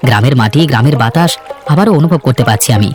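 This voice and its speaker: A man's voice: mid-range voice, lively intonation, fast talker, close to an everyday voice. A man voicing an earnest, plain-spoken young villager in a fairy-tale cartoon, with a slightly chiding manner. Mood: earnest, friendly. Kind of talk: Bengali cartoon voice acting. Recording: background music under the voice.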